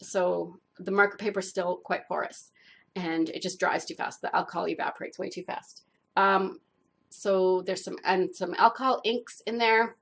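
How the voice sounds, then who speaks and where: A woman's voice: lowish voice, steady tone, normal speed, vocal fry. A woman hosting an art channel, talking casually to the camera with lots of fillers, stuffed up from a cold. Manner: chatty, rambling with many fillers.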